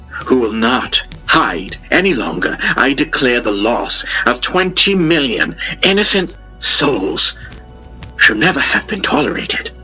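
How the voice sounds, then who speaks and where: A man's voice: medium pitch, pushed and bright, heavily acted, rough recording. A man voicing a newly crowned queen who gives a solemn, resolute, declamatory proclamation in an audio drama. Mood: grave, resolute. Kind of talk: formal oratory in an audio drama.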